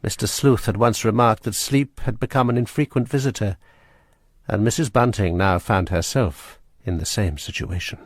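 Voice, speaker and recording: A man narrating a suspenseful period story in a measured, scripted way. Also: lowish voice, dry recording.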